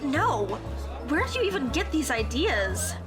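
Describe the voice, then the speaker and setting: A woman's voice: high-pitched, big pitch swings, measured pace, over music, crowd noise. A woman playing an earnest, easily flustered teenage schoolgirl in an audio drama, speaking in a tentative, questioning manner. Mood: affronted.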